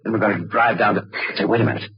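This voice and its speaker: A muffled vintage radio recording of a brash tough newspaper photographer. His voice is low and very husky, and he fires off slangy, pushy lines in quick clipped bursts. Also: fairly even intonation. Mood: urgent, excited. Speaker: radio actor in the lead role. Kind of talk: 1940s radio acting.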